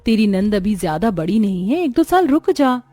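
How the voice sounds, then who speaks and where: A woman voicing a kindly young married friend in a cartoon story, gently admonishing as she talks. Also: medium pitch, sing-song, normal speed, no room sound. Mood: concerned. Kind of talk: Hindi cartoon story dialogue. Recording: music behind the voice.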